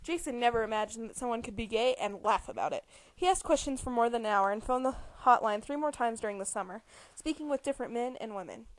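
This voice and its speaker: A woman's voice: a little high, expressive, fast talker, no room sound, poor audio. A young woman giving an amateur dramatic reading of a novel, prone to giggling and stumbling over words. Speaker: amateur reader.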